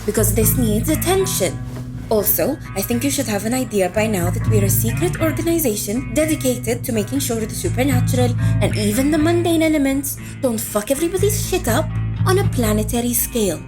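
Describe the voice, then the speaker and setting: Woman acting impatient female commander, high voice, blunt, exasperated, audio drama, music behind. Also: animated, fairly quick, natural voice. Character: brusque, impatient female secret agency commander.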